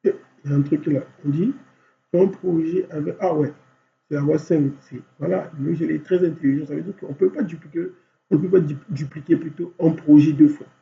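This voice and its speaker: A man with a cold teaching design software step by step, thinking aloud and filling gaps with constant voilàs. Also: a little high, steady tone, a little fast, vocal fry. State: has a cold. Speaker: software instructor. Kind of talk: screen-recorded software tutorial. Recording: dull lo-fi recording with faint hum.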